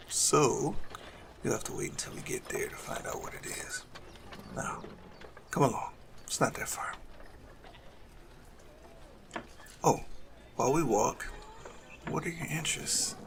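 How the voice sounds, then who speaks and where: Man acting gravelly, suave lovestruck assassin. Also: medium pitch, noisy background. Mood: playful. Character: lovestruck male assassin, suave, cunning, tenderly courting a noble. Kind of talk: romantic audio roleplay acting addressed to the listener.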